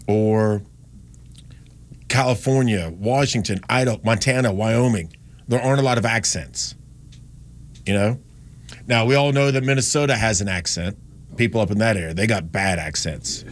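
A man's voice: on the low side, normal speed, pauses often. A man from North Carolina hosting a radio show, talking in a rambling, chatty way with frequent restarts and no strong accent. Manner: chatty, rambling, self-correcting. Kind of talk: casual on-air talk.

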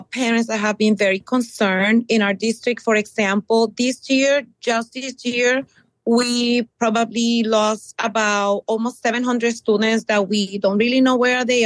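A middle-aged woman from Puerto Rico, a bilingual middle school teacher, answering interview questions earnestly with many pauses and ums. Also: on the high side, no room sound, poor audio.

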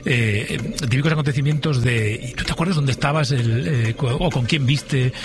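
A man's voice: mid-range voice, normal speed, music in the background. A man hosting a radio quiz, bantering and chuckling as he goes. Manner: jokey, chuckling. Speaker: radio show host. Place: radio studio.

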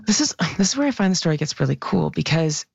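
Gravelly-voiced woman science columnist. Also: sits low, quick pace, no room sound, dull audio. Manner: lively, animated explainer, self-described loud voice. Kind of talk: radio science segment chat.

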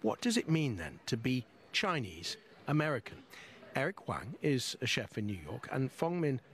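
Male radio host, mid pitch; reads links, asks short questions. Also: very animated, normal speed. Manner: scripted links, brief prompts. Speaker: radio presenter. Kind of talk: news interview hosting.

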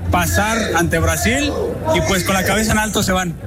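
A man's voice: high-pitched, moderate pace, a loud crowd. A man with a Mexican accent giving casual interview answers as a football fan.

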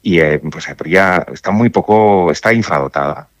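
Deep-voiced man, halting and thoughtful. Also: dry recording. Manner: thoughtful, halting, many restarts and fillers. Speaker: judge interviewed on radio. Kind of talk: radio interview answers.

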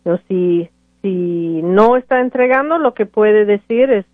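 A woman's voice: medium pitch, a little slow, no room sound. A woman lawyer answering callers on a radio call-in show, hesitant, with many fillers and restarts. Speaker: immigration lawyer. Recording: tinny phone-like radio audio, dry.

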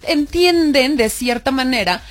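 A woman's voice: sits high, expressive, unhurried. A woman hosting an interview, chatty and curious, asking long winding questions. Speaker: interviewer host. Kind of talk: casual interview chat.

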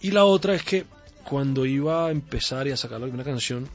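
A man's voice: mid-range voice, animated, brisk. Upbeat Caribbean coastal man on radio. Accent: Caribbean coastal Spanish. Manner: upbeat, laughs easily, reflective and grateful. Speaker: guest singer. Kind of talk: radio interview. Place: radio studio. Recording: slightly muffled radio studio with faint music.

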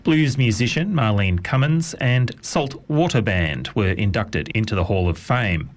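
A man reading a radio news bulletin in a measured, formal way. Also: fairly low pitch, expressive. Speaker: newsreader.

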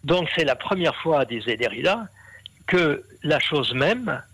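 A man's voice: on the high side, lively intonation, measured pace, a bit bright, slightly rough, dry recording, poor audio. A man explaining ideas at length in an interview, with many fillers and restarts. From half Brazilian. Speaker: interview guest, scholar.

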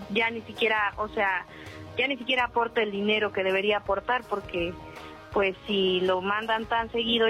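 A woman's voice: mid-range voice, a bit bright, low-quality recording. A woman, calling in hesitantly and deferentially for advice. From El Salvador. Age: 50s. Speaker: caller seeking advice. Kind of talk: recounting a personal problem.

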